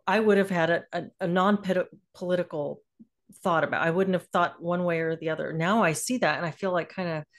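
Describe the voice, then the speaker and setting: A woman's voice: lowish voice, steady tone, a little fast. A woman hosting an interview, talking casually and hesitantly as she searches for words, with many fillers. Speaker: interviewer, host.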